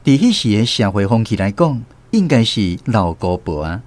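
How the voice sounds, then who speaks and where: An actor with a Taiwanese accent narrating a family tale as the storyteller in a radio drama. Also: male voice, lowish voice, sing-song, moderate pace, darkish tone.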